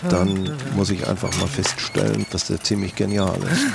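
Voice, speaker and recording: Creaky low-voiced man reflecting in interview. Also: animated, moderate pace, over loud music.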